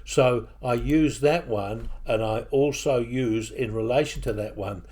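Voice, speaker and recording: A man with a mixed English and New Zealand accent, walking through software on his computer in a casual, hesitant way with many fillers. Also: medium pitch, a little slow. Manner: hesitant, with frequent fillers and restarts. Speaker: software tutorial presenter. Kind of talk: casual screen-recording walkthrough. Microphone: desktop microphone at a computer.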